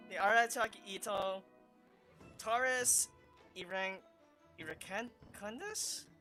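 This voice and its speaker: Male streamer, high voice, unscripted and halting gameplay chat over audible music. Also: pushed and bright. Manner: halting, thinking aloud. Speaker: gaming streamer. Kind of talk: casual, unscripted gameplay commentary. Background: game music.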